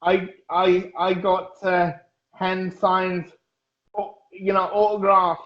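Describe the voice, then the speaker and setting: Guest, man in his thirties from Germany, high flat voice, halting delivery, echoey room. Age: 30s. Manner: halting, hesitant, many fillers and restarts. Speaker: interview guest. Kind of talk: casual interview. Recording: echoey, dull lo-fi audio.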